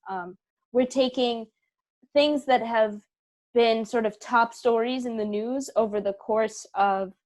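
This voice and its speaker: A young woman with a medium-pitched voice speaks as an interview guest. She explains things conversationally and carefully, pausing between phrases as she puts her thoughts together. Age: young adult. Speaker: theater performer and interview guest.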